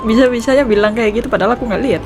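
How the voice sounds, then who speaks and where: A woman's voice: on the high side, rapid-fire, music in the background. A woman with an Indonesian accent giving casual, reactive playthrough commentary, chatting back at game characters. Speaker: gaming streamer. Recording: clear game noise behind voice.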